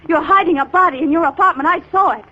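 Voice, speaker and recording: An American actress playing a sleuth's sharp-tongued, teasing sweetheart in a 1940s radio crime drama, quick with pointed retorts. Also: female voice, extremely high pitch. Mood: indignant. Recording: dull 1940s radio recording.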